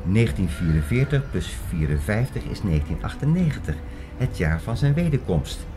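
A man's voice: on the low side, big pitch swings, normal speed. A man playing an earnest theorist in an audio drama, lecturing in an emphatic, didactic and insistent way. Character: earnest male theorist expounding an apocalyptic conspiracy. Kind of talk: Dutch audio drama acting, lecturing monologue. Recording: prominent music bed under the voice.

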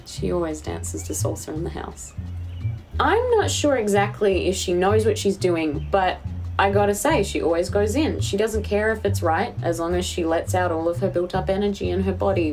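A woman's voice: lowish voice, a little mellow, lightly acted. A woman playing an anxious, restless young writer alone in quarantine, narrating her thoughts in an introspective, confessional audio drama. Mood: wistful. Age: young adult. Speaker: lead actor narrating in character. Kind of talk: first-person audio drama monologue. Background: musical score.

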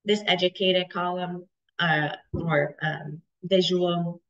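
A woman in her thirties presenting charts on a video call, stuffy from a cold, with halting speech full of ums and restarts. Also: lowish voice, fairly even intonation. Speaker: webinar presenter. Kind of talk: explaining data charts in a webinar. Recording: dull lo-fi video call audio.

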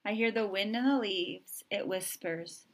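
A woman reads a picture book aloud for young children. Her pitch is average for a woman, and she phrases each sentence simply and deliberately. The delivery is expressive storytelling, ready to act out the sounds in the story. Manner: expressive, acting out sound effects. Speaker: storybook reader.

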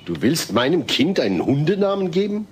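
A man's voice: fairly high pitch, very animated, fairly quick. An actor playing an exasperated, earnest suburban father-to-be in a sitcom audio play, a little fussy. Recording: noisy old cassette audio.

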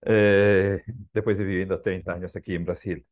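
A man's voice: deep voice, flat delivery, moderate pace, no room sound. A man from Peru, a guest climate scientist opening his talk in a friendly, self-joking way on a video call.

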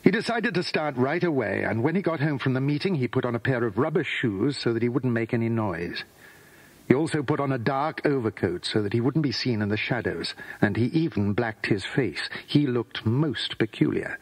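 Husky male children's story narrator. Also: mid-range voice, expressive, moderate pace, rough recording.

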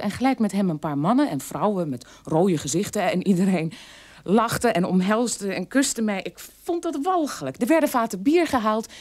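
An actress playing a bitter adult daughter of a war criminal, recounting her childhood in an emphatic, halting radio play monologue. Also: mid-range voice, a little mellow, lightly acted. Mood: disgusted, pained.

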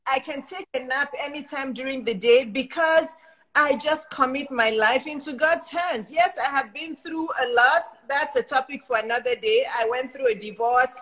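A woman's voice: sits high, moderate pace, a bit bright, rough recording. A woman in her fifties with a Nigerian accent, hosting a video-call interview in an effusive, laughing, emphatic way. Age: 50s. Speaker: host and life coach. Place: indoors in front of a logo backdrop. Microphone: webcam on a video call.

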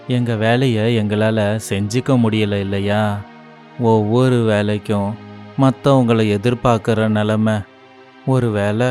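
A slow tired old man who's secretly a demon, lowish voice, soft music under it. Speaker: voice actor. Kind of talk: animated storybook dialogue.

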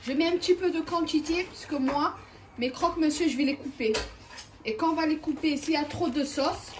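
High-voiced woman demonstrating home cooking. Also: brisk, a little mellow, slight background noise. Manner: chatty, step-by-step, addresses viewers directly. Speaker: cooking channel host. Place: home kitchen.

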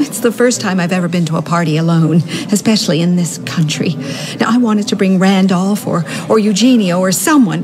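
A woman's voice: medium pitch, natural voice. An actress playing a nervous, chattering society mother in a period radio drama, flustered and rambling breathlessly. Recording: music playing behind.

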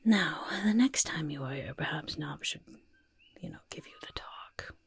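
A woman voicing a fussy, kindly goblin disguise master in a whispery, breathy, coaxing ASMR roleplay, close to the microphone. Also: deep voice, wildly swooping pitch, moderate pace, slight background noise. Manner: coaxing, gentle, hesitant asides, repeated words. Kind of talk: soft-spoken ASMR roleplay.

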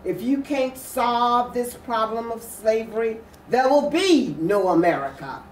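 Older woman reading a speech real emphatic, mellow slow voice, roomy far mic. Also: a little high, lively intonation. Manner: emphatic, declamatory. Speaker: readers theatre performer. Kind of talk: dramatic oratorical reading of a speech. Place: furnished sitting room, indoors. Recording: roomy, distant mic with faint noise.

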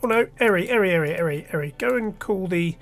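A man's voice: high-pitched, animated, quick pace, fairly bright tone. A man with a cold and a slightly nasal voice, casually thinking aloud with restarts as he talks through his game. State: stuffy nose from a cold. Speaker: let's play host. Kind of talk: casual gameplay commentary.

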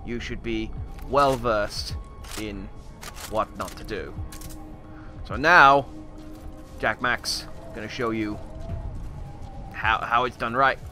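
A man's voice: lowish voice, steady tone, takes it slowly, pauses often, bright, forceful tone, faint music behind, full character voice. A man voicing a bumbling, boastful Australian survival expert with a wandering accent, rambling through mock lessons as game commentary. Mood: mock-instructive. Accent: put-on wandering Australian. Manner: hesitant, rambling, mock-instructional. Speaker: gameplay commentator. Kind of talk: improvised in-character comedic game commentary.

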